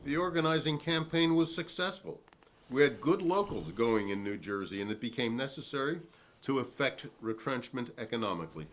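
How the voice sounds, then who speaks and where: A man's voice: mid-range voice, expressive, moderate pace, a bit bright, close to an everyday voice. A man reading the part of a combative working-class salesman testifying at a 1950s hearing, formal, righteous and long-winded. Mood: measured. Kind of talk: dramatic reading of a hearing transcript.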